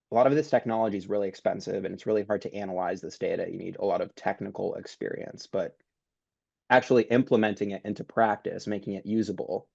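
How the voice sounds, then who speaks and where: A man who is a guest researcher, informally explaining his work in an online Q&A, slightly hoarse as if losing his voice. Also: on the low side, mellow, no room sound. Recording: dull, muffled online call audio.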